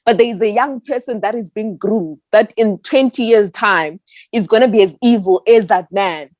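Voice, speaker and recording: A woman's voice: medium pitch, moderate pace, a little mellow, no room sound. A young woman from Zimbabwe answering an interviewer earnestly and reflectively. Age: young adult. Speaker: activist guest.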